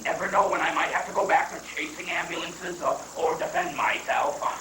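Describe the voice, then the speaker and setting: A woman playing a shifty, evasive, lawyerly male former president in an amateur satirical play reading, halting and pompous. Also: on the low side, steady tone, pushed and bright. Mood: eager, shifty. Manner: halting, stammering, pompous legalese. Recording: echoey distant live recording, faint noise.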